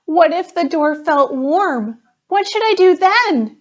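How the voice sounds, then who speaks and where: A woman's voice: very high voice, lively intonation, slow pace, muffled recording, voice pushed up, exaggerated voice. A woman voicing an anxious, earnest young schoolgirl in a storybook, with pleading emphasis. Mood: worried, pleading. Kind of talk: storybook read-aloud.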